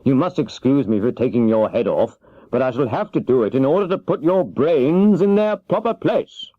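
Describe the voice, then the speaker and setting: A man's voice: medium pitch, lively intonation, normal speed, dry recording, pitched above the performer's own voice, lightly acted. A man voicing a polite, obliging little humbug wizard in a children's storybook reading. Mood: brisk, polite. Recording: dull, lo-fi cassette transfer.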